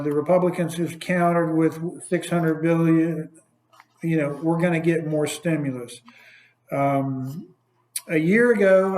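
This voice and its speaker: A man in investments talks through the market outlook for clients on a conference call. His voice sits a bit high, and he talks loosely, with many fillers and restarts. The sound carries a roomy echo. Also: a little mellow. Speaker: investment advisor.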